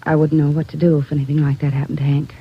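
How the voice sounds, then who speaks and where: A woman's voice: sits low, flat delivery, racing, slightly rough. An American woman playing an anxious, earnest young wife and mother in a 1940s radio drama. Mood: worried, pleading. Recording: dull old radio recording.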